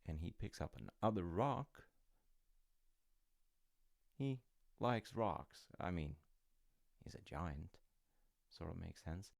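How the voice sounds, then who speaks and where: A very low male voice with a creaky, gravelly edge, close and dry. A man plays an easygoing middle-aged dad in an audio roleplay, telling a bedtime folktale with wry, casual asides and no hurry at all. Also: animated, no room sound, exaggerated voice. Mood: wry storytelling. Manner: unhurried, musing, gently wry. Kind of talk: intimate roleplay storytelling with casual rambling asides.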